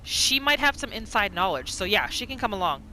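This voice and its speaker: A woman hosting a gaming video, giving casual, expressive commentary as she reacts to the game. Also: mid-range voice, fairly quick, a little hiss or hum, low-quality recording. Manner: expressive, exclaiming.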